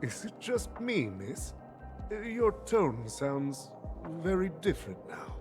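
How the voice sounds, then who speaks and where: An actor playing a shrewd, theatrical businessman in a video game, with a drawn-out, exaggerated, slyly playful delivery. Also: fairly high pitch, wildly swooping pitch, exaggerated voice. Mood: suspicious. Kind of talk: scripted video game voice acting. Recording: music behind the voice. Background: game music.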